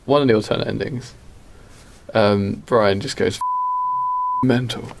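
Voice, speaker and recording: Gravelly, halting man improvising stories. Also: lowish voice, steady tone, background noise. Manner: halting, thinking aloud. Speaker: podcast co-host. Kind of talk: casual improvised storytelling.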